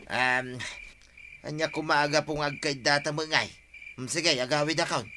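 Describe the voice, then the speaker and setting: An actor playing an anxious, homely husband in a radio drama, with a hesitant manner. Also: male voice, on the high side, steady tone, normal speed, pushed and bright. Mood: worried. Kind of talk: radio drama acting in Ilocano. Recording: noisy track with chirping crickets.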